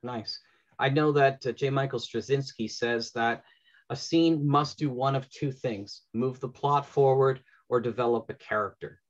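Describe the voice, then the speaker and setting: Middle aged guy hosting a panel on a video call, normal pitch, bit lo-fi. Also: steady tone, a little slow. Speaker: panel moderator. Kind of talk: unscripted panel moderating. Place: small room at home, indoors. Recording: dull video-call audio.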